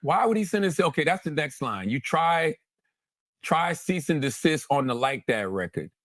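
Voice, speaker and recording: Emphatic man with a higher-pitched voice. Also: sing-song, moderate pace, a bit bright, dry recording, studio quality. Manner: emphatic, repeats phrases for effect, swears casually. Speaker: host breaking down rap lyrics line by line. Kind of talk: animated conversational commentary.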